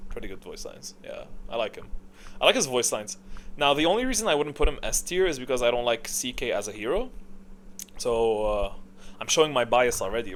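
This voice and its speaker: A man's voice: medium pitch, a bit bright, a little hiss or hum. A man giving casual, unscripted gaming tier-list commentary in a rambling, opinionated way. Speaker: video game content creator.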